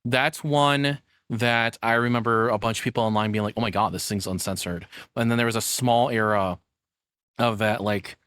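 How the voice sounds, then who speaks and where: A man's voice: mid-range voice, steady tone, moderate pace, a bit bright, clean tone, no room sound, studio quality. A man giving casual, playful, tongue-in-cheek live commentary as a streamer reacting to a video.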